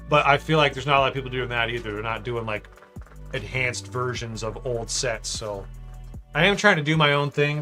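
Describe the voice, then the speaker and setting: A man's voice: medium pitch, pushed and bright. A man hosting a livestream, chatting casually and thinking aloud as he builds, reading out chat messages and joking with viewers. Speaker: livestream host building a model.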